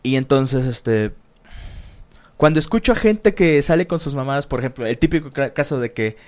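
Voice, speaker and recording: Man, casual co-host, slangy, lots of fillers, average pitch. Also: lively intonation, moderate pace, darkish tone. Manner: slangy and swearing, with many fillers. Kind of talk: casual chat.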